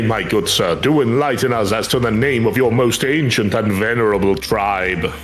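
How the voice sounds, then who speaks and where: A man's voice: mid-range voice, close to an everyday voice. A man voicing the ceremonious father of a small mousy tribe in a video game, speaking in a formal, grandiloquent way. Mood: curious, ceremonious. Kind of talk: video game story dialogue read aloud.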